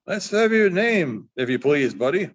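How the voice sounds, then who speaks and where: A man voicing a kindly but commanding frontiersman in a storybook, plain-spoken and gruff in manner. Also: on the high side, very animated, a little slow. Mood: curious. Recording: dull, muffled lo-fi sound.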